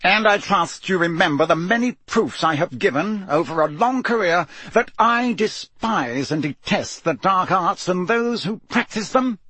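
A man's voice: high voice, lively intonation, a little slow, few pauses, sharp tone, breathy edge, dry recording, voice pushed up, full character voice, low-quality recording. Man acting a cold, cutting senior wizard official. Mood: indignant.

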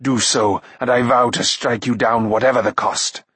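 A man's voice: lowish voice, fairly bright tone, husky, no room sound. A man voicing a grave, weathered, noble warrior lord in an audiobook, speaking firmly and carefully in formal old-fashioned language. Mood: fierce. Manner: firm, slow, careful, archaic formal speech. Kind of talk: audiobook character acting.

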